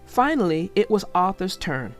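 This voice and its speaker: A woman's voice: fairly low pitch, very animated, normal speed, soft background music. A woman reading aloud for children as a storyteller, chatting directly to her young listeners in a conversational way.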